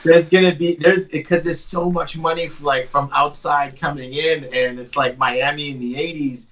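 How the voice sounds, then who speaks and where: A man's voice: on the high side, fairly even intonation, moderate pace, fairly bright tone. A man, a comic creator chatting as a guest in a jokey, rambling way. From Canada (Vancouver). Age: 40s. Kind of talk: casual interview chat. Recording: dull distant remote audio.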